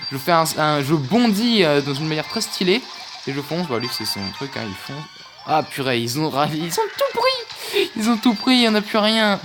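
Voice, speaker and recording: A man casually commenting on a mobile game as he plays, hesitant, with lots of fillers and restarts. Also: a little high. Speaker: gaming youtuber. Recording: music playing behind. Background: game music.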